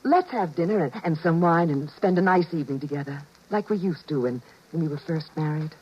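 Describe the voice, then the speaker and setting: A woman playing an affectionate, coyly knowing suburban wife in a 1950s radio drama, with gentle, coaxing phrasing. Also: sits low, animated, brisk, a little hiss or hum. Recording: dull, muffled 1950s radio recording.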